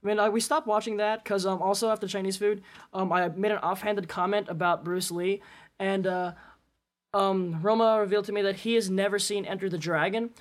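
A man's voice: sits high, fairly even intonation, fairly quick. A man co-hosting a podcast in a casual, conversational style. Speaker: podcast co-host. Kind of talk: casual chat.

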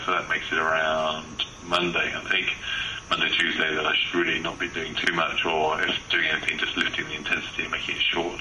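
Low monotone guy giving his training update, lots of uhs, bright tone, kinda roomy echo. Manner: hesitant, many fillers. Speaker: triathlete recording a training log. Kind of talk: casual spoken training diary. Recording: roomy echo with faint noise.

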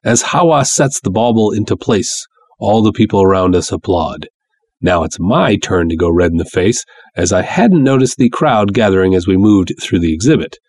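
Husky, lower-pitched man narrating a story. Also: lively intonation, normal speed, darkish tone. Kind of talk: dramatic first-person story narration.

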